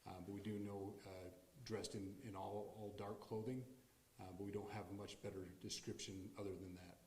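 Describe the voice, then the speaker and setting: A man with a lowish voice answers questions as a police official. He is careful and halting, in an echoey room with a faint hiss. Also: flat delivery, a little mellow. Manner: halting, cautious. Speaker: law enforcement official. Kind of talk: press briefing, unscripted answers.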